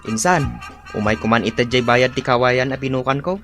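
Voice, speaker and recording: An actor playing a neighbourly village cousin in a radio drama. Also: male voice, mid-range voice, a little fast, a bit bright, faint music behind, lightly acted. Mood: matter-of-fact. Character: neighbourly cousin come to pay for bamboo. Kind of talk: Filipino radio drama acting.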